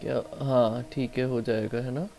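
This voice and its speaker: A man's voice: medium pitch, steady tone, rapid-fire, creaky. A man with an Indian accent giving casual live gameplay commentary as a streamer. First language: Hindi. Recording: loud game music behind the voice. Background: loud game music.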